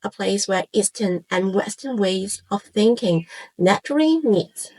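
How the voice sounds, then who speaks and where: A woman in her forties from Hong Kong, a native Cantonese speaker with a Hong Kong accent, narrates a scripted, reflective piece in measured phrases with short pauses. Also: medium pitch, expressive, darkish tone, poor audio. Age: 40s. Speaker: YouTube channel creator and host.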